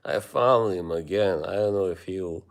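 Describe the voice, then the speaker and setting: A man from Bosnia with a Bosnian accent, chatting casually with viewers on a live stream, rambling and halting with many fillers. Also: sits low, vocal fry.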